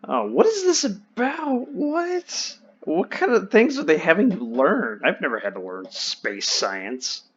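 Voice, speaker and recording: A man chatting and telling stories casually, with animated reactions, swearing and restarts, over online voice chat. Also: high-pitched, measured pace, few pauses, slightly rough. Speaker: host. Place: online voice chat in a VR social game. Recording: dull lo-fi voice-chat audio.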